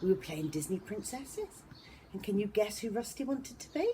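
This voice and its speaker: A woman reading a storybook aloud to young children as a teacher, in a deliberate, expressive manner. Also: medium pitch, slight background noise, rough recording.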